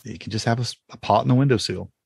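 A man's voice: medium pitch, rapid-fire, creaky, darkish tone, husky, no room sound, clean studio sound. A man hosting a podcast, chatting casually in a folksy way as he explains things off the cuff. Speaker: podcast host. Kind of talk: casual chat about home gardening.

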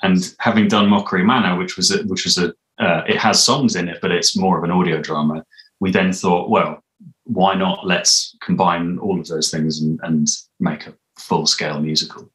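A British man in his thirties chats as an interviewee. His voice sits very low, and he picks through his words haltingly. The room echoes around him on a video call. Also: fairly even intonation, fairly bright tone. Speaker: interviewed audio drama creator and composer. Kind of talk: casual interview talk. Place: small room indoors.

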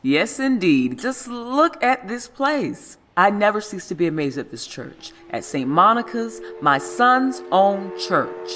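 A woman's voice: mid-range voice, big pitch swings, takes it slowly, few pauses, fairly bright tone, slight background noise. An actress playing an elderly, devout mother, tender and worried, speaking solemnly and coaxingly in an audio drama. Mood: warm pride.

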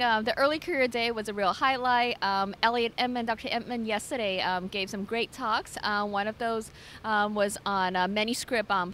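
A woman in her thirties, a medical fellow answering interview questions haltingly into a handheld microphone. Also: fairly bright tone. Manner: hesitant, halting. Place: large convention hall. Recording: faint convention hall rumble.

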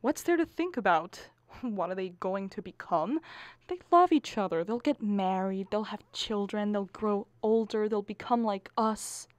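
A woman's voice: medium pitch, a little slow, no echo, lightly acted. A woman playing an anxious, bickering middle-aged wife and exasperated mother in a radio comedy play, pleading and arguing melodramatically. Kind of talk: stage comedy acting for radio.

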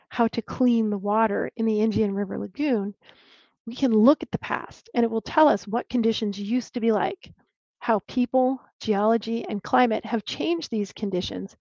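A woman archaeologist presents her findings over a video call from a room at home. Her voice sits a little high with some vocal fry, and she explains carefully, now and then restarting a word. The laptop audio is dull, lo-fi and dry. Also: warm tone, no room sound. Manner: clear explanatory lecturing with small restarts. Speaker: archaeologist giving a talk. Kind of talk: presenting a lecture with slides.

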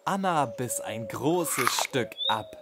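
A man narrating a children's bedtime audio story in a gentle storytelling manner. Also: medium pitch, expressive, slow, heavy background noise.

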